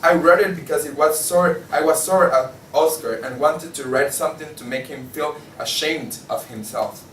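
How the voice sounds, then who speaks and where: A man's voice: fairly high pitch, moderate pace, hardly any pauses, a bit bright, far from the mic, close to an everyday voice. A man playing a jaded, disillusioned journal editor in a table read of a stage play, stumbling now and then over his lines. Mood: defensive. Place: indoor room, table read. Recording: echoey room from afar, faint noise.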